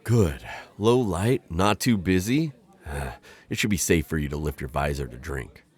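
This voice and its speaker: A man's voice: low-pitched, animated, measured pace, a little mellow, husky, exaggerated voice. A man playing an awkward male insectoid bounty hunter in an ASMR roleplay, talking in a formal, flustered, rambling way. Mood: earnest. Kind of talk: ASMR roleplay audio drama.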